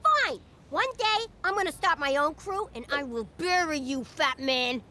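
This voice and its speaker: Shrill, hot-tempered cartoon daughter. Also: female voice, lively intonation, moderate pace, pushed and bright. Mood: furious. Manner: combative. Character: fierce, hot-tempered young daughter of a mobster. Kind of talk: adult cartoon comedy voice acting.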